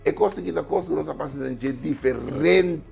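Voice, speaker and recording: A man's voice: fairly high pitch, moderate pace, gravelly, darkish tone, faint music behind. A man appearing as a writer and guest on a talk show, arguing his points emphatically. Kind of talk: studio talk show discussion.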